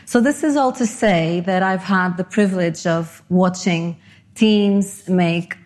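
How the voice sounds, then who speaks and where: A woman's voice: fairly low pitch, slow, a little mellow. A middle-aged woman from Portugal and Panama giving a conversational, self-deprecating conference talk. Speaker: conference speaker.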